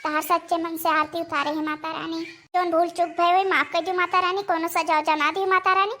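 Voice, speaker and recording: A devout village woman acted out by a cartoon performer pleads in a high, bright voice with an Awadhi accent. She sounds humble and anxious, repeating herself reverently in comedy cartoon style. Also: flat delivery, brisk.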